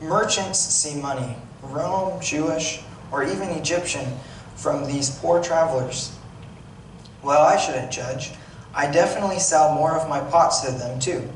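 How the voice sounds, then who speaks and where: A man's voice: on the high side, a little slow, lightly acted. A man reading aloud as a plain-spoken, wry, slightly grumbling village potter, in a conversational storytelling way with occasional stumbles. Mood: matter-of-fact. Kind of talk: dramatic monologue read aloud. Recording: echoey room, distant mic, noisy background.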